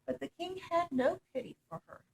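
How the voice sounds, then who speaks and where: A woman reading a children's story aloud as an expressive narrator. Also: sits high, moderate pace, creaky, slight background noise, low-quality recording. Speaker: storytime reader.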